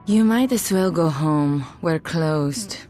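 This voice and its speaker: Slow, gloomy shopkeeper. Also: female voice, low voice, mellow, soft background music. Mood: resigned. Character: gloomy, resigned massage parlor keeper. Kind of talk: video game cutscene acting.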